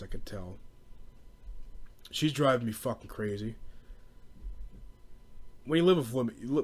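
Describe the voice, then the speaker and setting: Exasperated young American guy ranting. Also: fairly low pitch. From United States. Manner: exasperated, profane, bro-ish slang. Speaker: podcast host. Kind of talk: casual rambling comedic rant.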